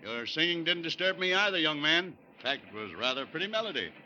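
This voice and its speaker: Chuckling male train passenger, bright forceful voice, 1940s radio drama, dull old broadcast. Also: a little high, sing-song, fast, a little hiss or hum, heavily acted. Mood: amused.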